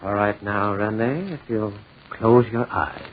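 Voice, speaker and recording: An actor playing a calm, courteous, reassuring doctor in a 1940s radio drama, speaking in a measured, soothing way. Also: male voice, on the low side. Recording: tinny, hissy 1940s radio recording.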